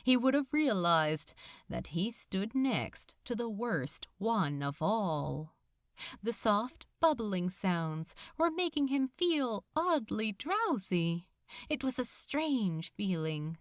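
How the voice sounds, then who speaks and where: Female audiobook narrator: unhurried and measured, dramatic, with widely swooping intonation, recorded close and dry. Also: mid-range voice, sing-song, no room sound. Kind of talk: scripted fiction narration.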